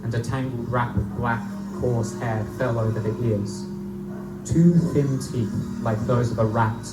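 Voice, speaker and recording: A man's voice: medium pitch, lightly acted. A man playing a young Victorian medical student who narrates a gothic horror tale in formal, old-fashioned language before a live audience. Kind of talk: live dramatic reading of gothic pulp horror. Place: live performance in a bookstore. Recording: echoey distant live room recording, loud guitar.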